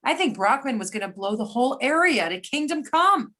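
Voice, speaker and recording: A woman reading a brisk, wry government agent in amateur readers theater, with everyday delivery. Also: sits high, sing-song, sharp tone, exaggerated voice. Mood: matter-of-fact. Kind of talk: amateur readers theater over a remote call.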